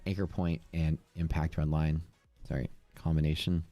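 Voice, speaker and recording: A man's voice: a bass voice, little pitch movement, moderate pace, dark tone, dry recording, faint music behind. A man from Texas with a Texan accent, chatting casually while commenting on trades during a live stream. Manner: rambling, chatty, drawling. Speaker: day trading streamer.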